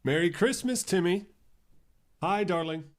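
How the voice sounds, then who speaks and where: A man's voice: sits high, measured pace, a little hiss or hum. Man playing a wry, suspicious fiancé. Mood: genial. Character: suspicious, jealous fiancé, outwardly polite. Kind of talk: old-time radio drama acting.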